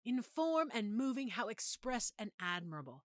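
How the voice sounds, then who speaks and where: A woman playing a disillusioned, philosophical young prince in a measured, theatrical Shakespearean monologue. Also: a little high, very animated, dry recording, studio quality. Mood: brooding, melancholy. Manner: theatrical, measured, emphatic pauses.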